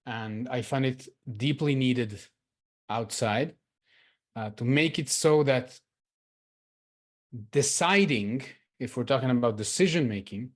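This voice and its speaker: A man's voice: mid-range voice, slow, long pauses. Earnest man from Israel sharing reflectively. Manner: earnest, reflective, emphatic on key points. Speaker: workshop participant. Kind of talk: personal sharing in a group discussion.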